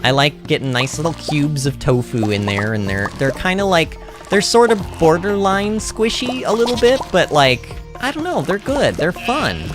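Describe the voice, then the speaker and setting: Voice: medium pitch, sing-song, moderate pace, few pauses, music in the background. A streamer casually rambling and answering chat during a game livestream, speaking animatedly and emphatically as a native English speaker. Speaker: livestreamer playing a farming game.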